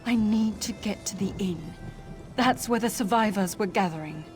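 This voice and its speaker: A woman's voice: medium pitch, moderate pace, natural voice. A woman playing a weary, worried injured villager who survived an attack, in a fantasy video game. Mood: pained, frightened. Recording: long reverb, music behind the voice.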